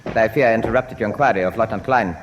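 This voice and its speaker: An actor playing a smooth, meddling German wartime visitor in a radio drama, formal and insinuatingly polite. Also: male voice, lowish voice, rapid-fire, natural voice. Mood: silkily polite. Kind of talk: full-cast radio drama acting. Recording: long reverb, faint noise.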